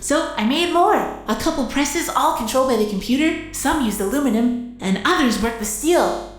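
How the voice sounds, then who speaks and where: High-pitched, eager young girl inventor. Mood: proud, excited. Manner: giggly, eager, halting with "uh" and "ow". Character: plucky teenage girl inventor turned walking war machine. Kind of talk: audio drama acting. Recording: roomy echo, music underneath.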